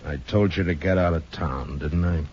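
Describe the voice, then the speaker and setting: An actor playing a terse, stern middle-aged American frontier marshal in a 1950s radio western. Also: male voice, deep voice, normal speed, a little mellow. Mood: stern, menacing. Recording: muffled, noisy 1950s radio recording.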